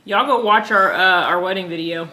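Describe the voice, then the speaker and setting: A woman's voice: fairly low pitch, moderate pace, heavy background noise. A young woman vlogger chatting casually and teasing over a seafood meal, with a playful drawl. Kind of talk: casual mukbang chat.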